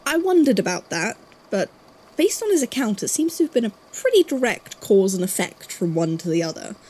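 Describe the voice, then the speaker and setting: A woman playing a guarded, thoughtful investigator in an audio drama, speaking haltingly. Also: mid-range voice, sing-song, mellow, slightly rough, poor audio. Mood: hesitant, thoughtful. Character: guarded, puzzled investigator from a supernatural archive.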